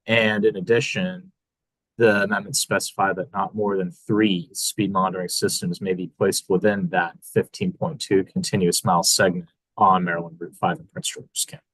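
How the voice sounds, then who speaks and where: A man's voice: sits low, flat delivery, fairly bright tone, dry recording, rough recording. Dry-sounding man reading bill text. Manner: measured, precise. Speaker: committee staff.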